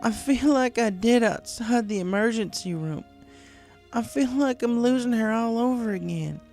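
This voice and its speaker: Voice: medium pitch, moderate pace, darkish tone, soft background music, voice pushed up, lightly acted. A performer voicing an earnest, troubled young female farm pony in a story reading. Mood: troubled, tearful.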